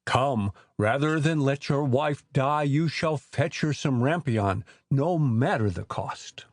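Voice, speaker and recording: A man's voice: mid-range voice, lively intonation, slow, no echo, clean studio sound. A man playing a desperate husband in a fairy-tale audiobook, speaking in an earnest, formal, theatrical way. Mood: resolute.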